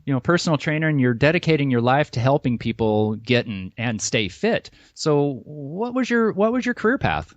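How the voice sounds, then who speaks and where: A man's voice: medium pitch, very animated, normal speed, hardly any pauses, no echo. A man hosting an interview podcast, friendly and a little rambling as he asks his questions. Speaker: podcast host. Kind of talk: casual interview conversation. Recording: dull, dry sound.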